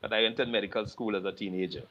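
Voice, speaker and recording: An older Trinidadian man speaks as a guest, quick and friendly as he explains things. His voice is bright and forward. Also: lowish voice, fairly even intonation, highs cut off. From Trinidad and Tobago. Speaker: guest speaker, retired radiologist. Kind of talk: casual conversation.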